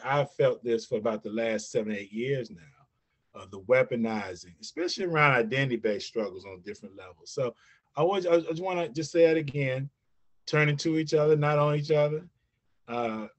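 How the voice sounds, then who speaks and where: Man, earnest, halting, repeats words, exhortative, panel talk. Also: mid-range voice. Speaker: veteran movement leader, panelist. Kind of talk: online panel discussion.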